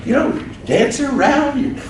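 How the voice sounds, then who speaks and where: A man's voice: very high-pitched, animated, normal speed, noisy background, voice pushed up. A man playing an ancient, fussy male angel who rambles and giggles while teasing a crowd, recorded from far off in an echoey event room.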